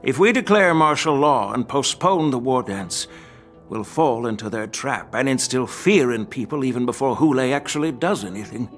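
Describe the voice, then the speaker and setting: An actor playing a calm, shrewd veteran general in a video game, speaking in a measured, instructive way. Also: male voice, medium pitch, faint music behind, natural voice. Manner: measured, deliberate, gently instructive. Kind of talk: scripted video game acting.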